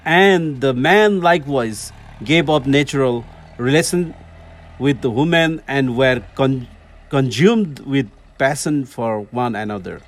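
A man from Nepal with a Nepali accent, a native Nepali speaker reading scripture aloud with care and some restarts. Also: mid-range voice. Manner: careful halting reading with restarts. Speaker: guest reader.

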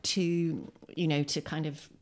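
A middle-aged woman with an English accent, talking informally and expansively in an interview, with animated emphasis. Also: deep voice, slow pace. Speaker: poet and adult education teacher. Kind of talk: conversational interview. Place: in a home.

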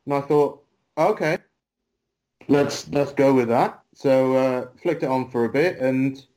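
A British man, a wrestler guest on a podcast, answering in a relaxed, rambling way and often calling the host mate. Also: medium pitch, a little slow, poor audio. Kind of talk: casual interview answers.

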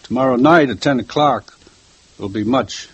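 An actor playing a grieving father set on revenge, speaking in halting, repetitive, politely intense phrases in a vintage radio crime drama. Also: medium pitch, breathy edge, dry recording, dull audio. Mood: grimly pleased. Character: grieving father of a murdered girl, quietly fixated on revenge. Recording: hissy old 1950s radio recording.

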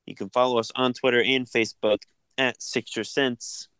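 Smooth-voiced man hosting a podcast. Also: mid-range voice, fairly even intonation, normal speed, fairly bright tone, no echo. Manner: easygoing, filler-heavy, frequent restarts. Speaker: podcast host. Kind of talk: casual sports podcast chat.

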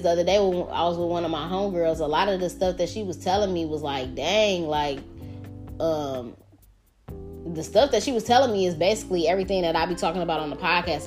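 Profane, candid woman podcaster. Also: low voice. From Detroit. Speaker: podcast host. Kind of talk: casual solo podcast monologue. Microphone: podcast microphone.

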